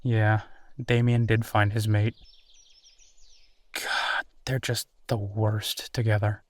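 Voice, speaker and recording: A man playing a candid, awkward werewolf in an intimate audio roleplay, talking in a halting, conversational way with sighs. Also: on the low side, level tone, no room sound. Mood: disgusted, exasperated. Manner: halting, hesitant, sighing, conversational. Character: easygoing young male werewolf, awkward, candid, bitter about rival.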